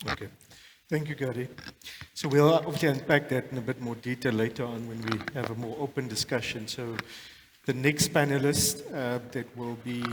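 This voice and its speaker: A man's voice: mid-range voice, big-room sound, a little hiss or hum. A man moderating a conference panel, formally introducing the next speaker.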